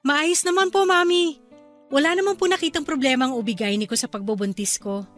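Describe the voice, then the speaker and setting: A woman's voice: high voice, a little fast, soft background music, lightly acted. A woman playing a polite, deferential young pregnant wife in a radio drama. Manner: polite, deferential, soft-spoken. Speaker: daughter-in-law character.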